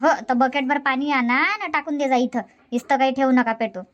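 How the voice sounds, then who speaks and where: A woman voicing a bickering, teasing old village grandmother in a cartoon comedy, with a Varhadi Marathi accent and quick nagging comebacks. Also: sits high, fairly even intonation, a bit bright, muffled recording. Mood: teasing, grumbling.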